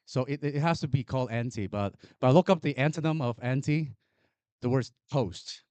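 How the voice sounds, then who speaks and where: A man from Hong Kong, Chinese as his first language, speaking English with a Hong Kong accent from the floor of a conference, halting and repeating words as he builds to emphatic points. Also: mid-range voice, darkish tone, dry recording. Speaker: audience member.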